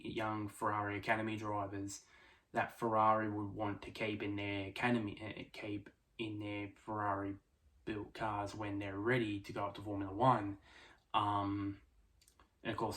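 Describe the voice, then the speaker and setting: A man giving casual solo motorsport predictions, speaking quietly late at night, with many fillers and restarts. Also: lowish voice. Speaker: F1 prediction vlogger.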